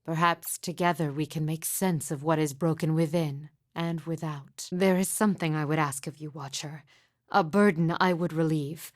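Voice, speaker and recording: A woman voicing a sorrowful, haunted mystic midwife in a video game, speaking in solemn, hushed, deliberate and formal phrases. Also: deep voice, fairly even intonation, no echo, clean studio sound, natural voice. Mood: solemn, gentle. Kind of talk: video game character dialogue, poetic and measured.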